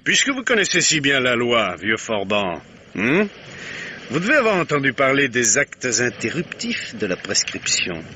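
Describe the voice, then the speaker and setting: Wily inspector, lively intonation, ironic and patient, old radio play. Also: male voice, mid-range voice, normal speed, slight background noise. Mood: probing. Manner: probing, dryly ironic questioning. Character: shrewd, patient male police inspector. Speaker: police inspector. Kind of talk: 1950s radio drama acting.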